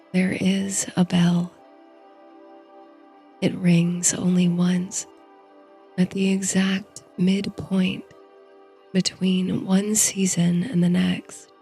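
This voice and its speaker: Soft low woman reading a sleep story, hushed n breathy, mellow, faint music under it. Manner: soothing, hushed, breathy delivery. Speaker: host and narrator of a sleep story series. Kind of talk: soft scripted bedtime story and guided meditation narration.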